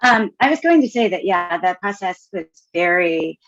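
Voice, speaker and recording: A woman's voice: lowish voice, lively intonation, moderate pace, a bit bright, no room sound. A woman chatting casually as a podcast guest, wry and often interrupting herself. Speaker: writing course graduate, podcast guest. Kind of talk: casual chat. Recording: dull, dry lo-fi microphone.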